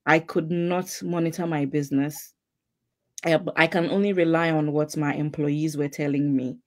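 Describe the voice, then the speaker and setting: A middle-aged woman from Ghana, hosting a casual live chat, chatty and quick to laugh. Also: deep voice, steady tone, dry recording, clean studio sound. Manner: chatty, laughs easily, repeats phrases. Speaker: host.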